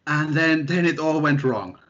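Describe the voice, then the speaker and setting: Relaxed young man from Finland, lo-fi. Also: fairly high pitch, unhurried. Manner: relaxed, self-deprecating, many fillers. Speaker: guest researcher. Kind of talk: casual interview chat. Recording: dull lo-fi sound.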